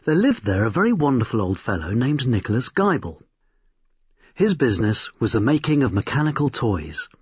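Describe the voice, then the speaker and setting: A man narrates a short story with lively, varied intonation. His voice is very husky and mid-pitched. The recording is slightly muffled. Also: normal speed. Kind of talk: expressive scripted storytelling. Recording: roomy, slightly dull recording.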